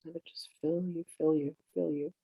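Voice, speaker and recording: A meditation teacher guiding in a soothing, unhurried way, with gentle instructions in drawn-out phrases. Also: mid-range voice, little pitch movement, vocal fry, breathy edge, no echo, poor audio. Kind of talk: guided meditation, slow instruction.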